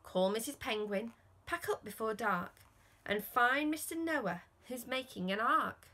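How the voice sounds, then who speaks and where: A woman voicing a calm, authoritative God in a children's rhyming storybook, measured and instructive. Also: on the high side, heavily acted. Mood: instructing, serious.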